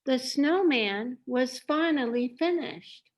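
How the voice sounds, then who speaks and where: A woman reading a children's story aloud in a deliberate, expressive way. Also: high-pitched. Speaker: storybook reader.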